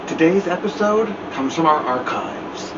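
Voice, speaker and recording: Guy hosting a kids story time, takes it slow, pitch moves around a lot. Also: expressive, far from the mic, lo-fi sound, noisy background. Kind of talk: picture book read-aloud introduction.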